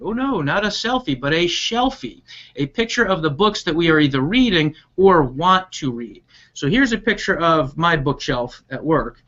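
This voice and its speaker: Animated man hosting a read-aloud, muffled sound. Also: fairly high pitch, moderate pace. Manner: upbeat, addressing viewers directly, explaining as he goes. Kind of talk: expressive storybook read-aloud with chatty asides. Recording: dull lo-fi online video call.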